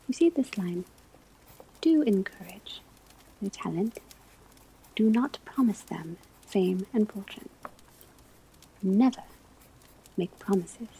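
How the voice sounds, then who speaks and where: A woman playing a gentle witch-school divination teacher in an ASMR roleplay, whispering breathily as she gives measured instruction. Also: medium pitch, a little hiss or hum, low-quality recording. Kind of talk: ASMR classroom roleplay lecture.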